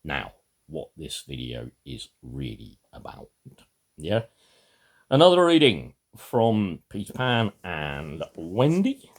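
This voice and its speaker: An older or middle-aged man talking to camera in a rambling, personal way, gravelly and husky, halting and then suddenly emphatic. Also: rumbling low. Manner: emphatic, halting, with dramatic swells and drops. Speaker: video maker giving a reading.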